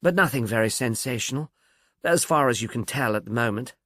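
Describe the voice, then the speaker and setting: A man's voice: medium pitch, animated, fairly quick, pushed and bright, no room sound, clean studio sound. A man voicing an amiable, slightly obtuse police detective in an audiobook, chatty and musing, earnestly puzzled. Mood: genial, musing. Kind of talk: audiobook character dialogue.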